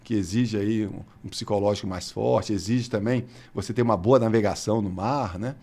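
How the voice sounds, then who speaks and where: A man's voice: on the low side, normal speed, darkish tone. A man in his fifties with a Brazilian Portuguese accent, a guest chatting casually in an interview and often laughing as he tells stories. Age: 50s.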